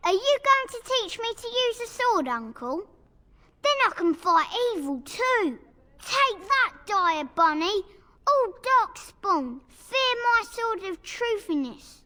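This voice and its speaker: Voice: very high voice, very animated, slow pace, bright, forceful tone, full character voice. An actor voicing an eager, playful and curious small boy in a video game. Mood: excited, boastful.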